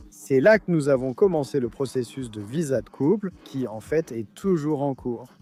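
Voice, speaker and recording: Dark-toned Colombian man, earnest. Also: mid-range voice. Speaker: interviewee. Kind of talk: dubbed interview testimony.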